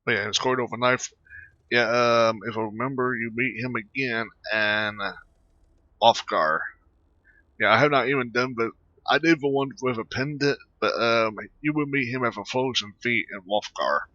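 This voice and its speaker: A man giving casual, rambling gameplay commentary with halting delivery. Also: mid-range voice, bright, forceful tone, no echo, lo-fi sound. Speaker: gaming video creator.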